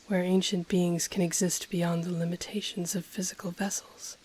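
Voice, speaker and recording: A woman voicing an ancient female dragon in an intimate audio roleplay, breathy and often whispering, haughty yet tender, with teasing, seductive, formally worded speech. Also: fairly low pitch, a little mellow. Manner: slow, teasing, formal archaic phrasing.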